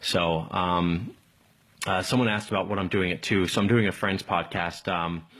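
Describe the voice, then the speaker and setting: A man hosting a casual live call-in tech stream, rambling along in an informal, enthusiastic way with a slightly husky voice. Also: low voice, moderate pace, fairly bright tone. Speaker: live tech show host.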